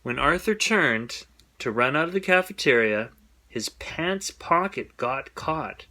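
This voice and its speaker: A man reading a children's bedtime story aloud in an expressive storytelling style. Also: mid-range voice, slow.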